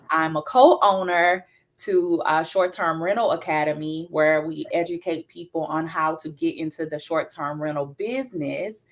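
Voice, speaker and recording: A woman speaking as a guest on a talk show, introducing herself in a businesslike way with frequent fillers. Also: low-pitched.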